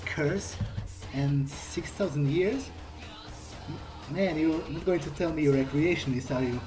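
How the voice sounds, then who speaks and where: A man's voice: fairly high pitch, measured pace, rough recording. Hesitant man role-playing over game music. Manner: hesitant, questioning, conversational. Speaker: gamer role-playing his character. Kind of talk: in-character improvised replies in a game playthrough.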